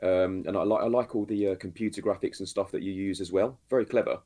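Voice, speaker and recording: A man's voice: low-pitched, fairly even intonation, fast talker, mellow. A man joining a livestream as a guest, friendly and generous with praise. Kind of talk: casual livestream chat.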